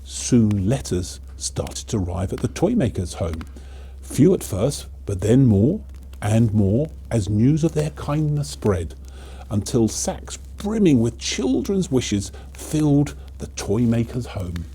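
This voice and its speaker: A man narrating a children's storybook in an expressive, theatrical, measured way. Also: medium pitch, husky.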